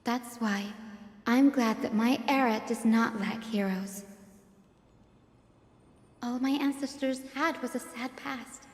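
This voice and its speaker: A woman voicing a gentle, earnest young assistant of sky-folk descent in a game story, speaking softly and sincerely. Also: a little high, slow pace, pauses often. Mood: hopeful, resolute. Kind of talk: voiced game story dialogue. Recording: echoey hall-like reverb.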